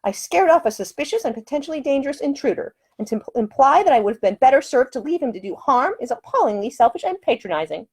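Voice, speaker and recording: A woman's voice: sits high, big pitch swings, quick pace, hardly any pauses, breathy edge, pitched above the performer's own voice, exaggerated voice. A woman voicing a brave, proper young lady in an audiobook story, with formal, articulate speech. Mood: indignant.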